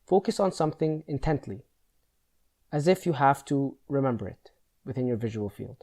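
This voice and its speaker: A man leading a guided meditation, giving slow instructions in a measured, gentle, instructional manner. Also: mid-range voice, lively intonation.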